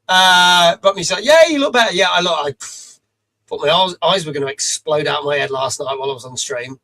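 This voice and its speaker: A middle-aged British man hosting a live stream from his home studio, his voice pitched noticeably high for a man and a touch rough. He chats loosely, greeting viewers one after another, with plenty of "uh"s between the names. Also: animated, fairly quick, pushed and bright. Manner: chatty, greets viewers by name, many fillers. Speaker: streamer host. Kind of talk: casual live-stream chat. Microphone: studio mic.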